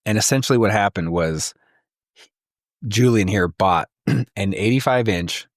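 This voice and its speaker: A man's voice: lowish voice, unhurried, dry recording. Teasing male podcast co-host, dry sound. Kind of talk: casual comedic banter.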